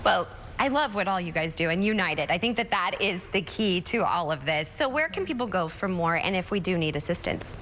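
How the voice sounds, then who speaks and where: Lively, effusive woman hosting morning TV. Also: lowish voice, fairly quick, hardly any pauses, a little hiss or hum. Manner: effusive, chatty. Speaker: TV morning show host. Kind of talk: upbeat morning TV interview. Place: TV studio.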